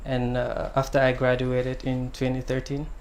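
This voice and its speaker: Soft-spoken young Gambian man, flat voice. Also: medium pitch, moderate pace, slight background noise. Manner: soft-spoken, hesitant with many ums. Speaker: guest singer-songwriter. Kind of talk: casual interview answers.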